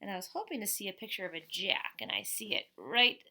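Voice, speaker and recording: A middle-aged woman with a bright, crisp-edged voice at an average pitch reads a children's book aloud. She is expressive and teacherly, slipping between the story and casual comments or questions for her young listeners. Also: fast talker.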